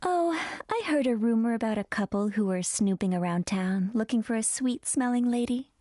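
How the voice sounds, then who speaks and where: Woman playing a coy, high-voiced flirtatious perfume maker. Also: expressive, moderate pace, warm tone, a little hiss or hum, natural voice. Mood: coy, teasing. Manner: coy, teasing, drawling. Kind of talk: video game character acting.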